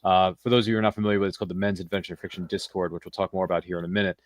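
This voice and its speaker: A man's voice: deep voice, steady tone, very fast, no echo. A man hosting a podcast, chummy and jokey, bantering casually as he interviews. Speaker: podcast host.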